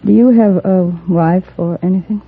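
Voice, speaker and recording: A woman's voice: mid-range voice, moderate pace, close to an everyday voice. An American actress playing a tough, proud young frontier woman in a 1950s radio western, with blunt, folksy speech. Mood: earnest, pleading. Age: young adult. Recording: narrow-band, muffled 1950s radio recording.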